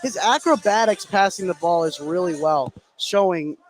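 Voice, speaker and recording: A man's voice: sits high, lively intonation, normal speed, faint music behind, rough recording. A man giving conversational live analysis as a basketball color commentator. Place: indoor gym at a basketball game.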